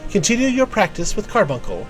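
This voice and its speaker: Man acting as guild official, fantasy game, polite, old-fashioned wording, high lilting delivery. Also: wildly swooping pitch, fairly quick, soft background music. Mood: encouraging, formal. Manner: formal, old-fashioned phrasing. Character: courteous, formal guild official giving praise and counsel. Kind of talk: video game dialogue read aloud.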